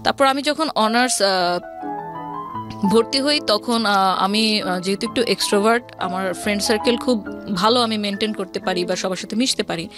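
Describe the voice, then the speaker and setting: A woman's voice: mid-range voice, normal speed. A woman with a Bangladeshi accent telling her personal story conversationally as an interview guest, mixing in English words. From Old Dhaka, Bangladesh. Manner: mixes English words into speech. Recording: music playing behind the voice. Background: background music.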